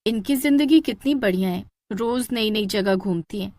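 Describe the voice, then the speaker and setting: A woman's voice: mid-range voice, moderate pace, darkish tone, no room sound, clean studio sound, natural voice. A woman voicing a dreamy, self-doubting young woman in a cartoon story, with an exclaiming way of talking. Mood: wistful. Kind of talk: animated cartoon story dialogue.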